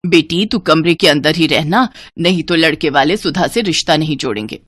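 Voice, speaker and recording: A woman's voice: sits low, expressive, moderate pace, fairly bright tone, slightly rough, no room sound, clean studio sound, voice pushed down, full character voice. A woman voicing a cold, bossy middle-aged mother who taunts and commands, in a cartoon moral story. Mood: scheming.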